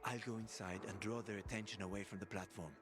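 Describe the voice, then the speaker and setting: A man's voice: lowish voice, fairly even intonation, fast, lightly acted. An actor voicing a roguish, boastful man with a wry, casual manner that includes swearing, in video game dialogue. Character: roguish older male schemer, boastful. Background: music.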